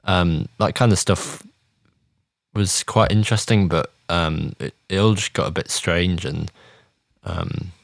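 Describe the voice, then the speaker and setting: Very deep-voiced man reviewing, hesitant. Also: measured pace, creaky, no room sound, clean studio sound. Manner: hesitant, searching for words. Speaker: podcast host reviewing TV. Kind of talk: casual chat review.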